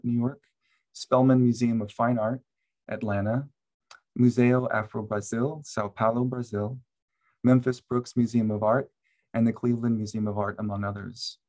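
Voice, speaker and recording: A man moderating a panel on a video call, reading a formal introduction of the speaker in a steady, measured way. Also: medium pitch, low-quality recording.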